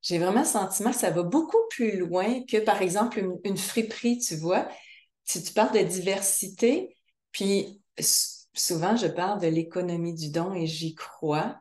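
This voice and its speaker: A woman's voice: medium pitch, normal speed, darkish tone. A woman interviewing a guest, reflective and earnest as she sums up what she has heard. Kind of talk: conversational interview. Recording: echoey room.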